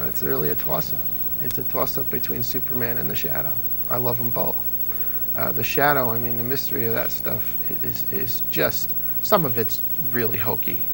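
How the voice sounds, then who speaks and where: A man chatting casually as an interview guest, telling anecdotes in an animated way and acting out the dialogue. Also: medium pitch, gravelly, poor audio. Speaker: interview guest, old-time radio fan. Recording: audible background noise and hum.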